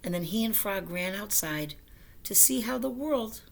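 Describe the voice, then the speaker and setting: A woman reading a children's story aloud in a gentle storytelling style. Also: mid-range voice, expressive, normal speed, creaky. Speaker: storybook reader.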